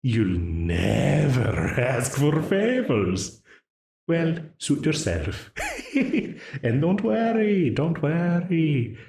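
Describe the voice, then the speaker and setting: A man voicing a mocking male archdemon in an audio roleplay. He speaks with a smooth, teasing, leisurely menace and chuckles often. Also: on the low side, darkish tone, exaggerated voice. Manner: slow, leisurely menace broken by frequent chuckles. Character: mocking, amused male archdemon binding a ruler to a contract. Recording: roomy echo.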